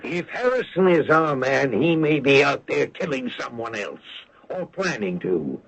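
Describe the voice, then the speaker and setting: A man's voice: mid-range voice, very animated, unhurried, a little hiss or hum. A man playing a suspicious American prosecutor in an old radio drama, with an insistent, argumentative manner. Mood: insistent, accusing. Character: pushy, suspicious male prosecutor hunting a killer. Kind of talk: 1980s radio drama acting. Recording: dull, band-limited old radio broadcast.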